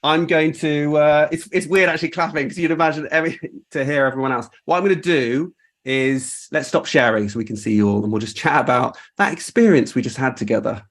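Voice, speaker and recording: A man's voice: a little high, fairly quick. A man hosting a casual group video call, talking in an informal, rambling and appreciative way. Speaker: host. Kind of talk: casual chat, reflecting on a creative project.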